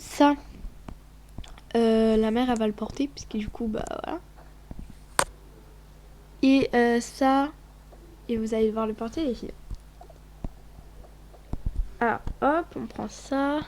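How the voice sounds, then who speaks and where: Woman w a cold rambling over her game, kinda high voice, sniffles, keeps going quiet and whispery. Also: a little hiss or hum. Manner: chatty, rambling, often drops to a whisper. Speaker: gaming vlogger. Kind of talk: casual running commentary over gameplay.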